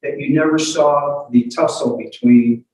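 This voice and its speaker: Male courtroom questioner, halting but insistent, heard distantly in a very reverberant room. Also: on the high side, steady tone, darkish tone. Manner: halting, repeats opening words, insistent. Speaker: lawyer questioning a witness. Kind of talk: courtroom cross-examination. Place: courtroom hearing. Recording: echoey courtroom, distant microphone.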